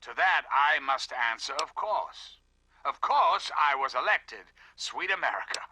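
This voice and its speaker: An actor playing a folksy, grandiose president giving a smug, oratorical propaganda address on the radio in a video game. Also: male voice, a little high, expressive, sharp tone, a little hiss or hum, heavily acted. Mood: smug assurance. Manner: pompous, measured, oratorical.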